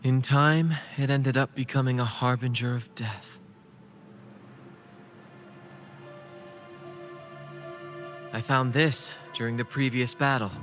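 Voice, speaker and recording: An actor as a measured, theatrical troupe leader. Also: male voice, mid-range voice, a bit bright, lightly acted. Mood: grave, composed. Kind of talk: scripted video game story dialogue. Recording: game music behind the voice. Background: music.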